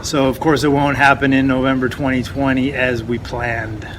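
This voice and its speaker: A man's voice: medium pitch, little pitch movement, moderate pace, fairly bright tone. Laid-back man co-hosting over street noise. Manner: laid-back, swears casually. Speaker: festival organizer and co-host. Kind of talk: casual prerecorded chat. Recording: street noise and passing vehicles behind.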